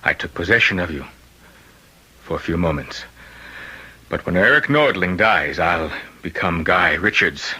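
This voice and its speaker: Dying possessed man in old radio play, deep forceful voice, insistent and grim. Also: lively intonation, husky, heavily acted, poor audio. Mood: ominous, certain. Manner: solemn, insistent, deliberate. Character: gravely ill, dying man possessed by a killer's spirit. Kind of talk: old-time radio drama acting.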